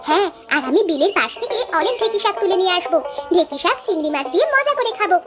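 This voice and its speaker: A woman voicing a lively, whining little village daughter in a children's cartoon, childish and insistent. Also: extremely high pitch, rapid-fire. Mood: eager. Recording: music behind the voice.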